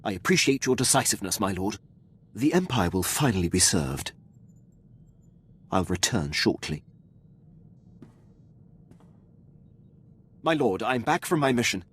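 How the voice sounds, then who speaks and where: An actor playing a dutiful Imperial military officer in a video game, speaking with precise, deferential formality. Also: male voice, fairly low pitch, very animated, normal speed, fairly bright tone, slightly rough, heavily acted. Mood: proud, resolute. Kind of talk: scripted video game dialogue.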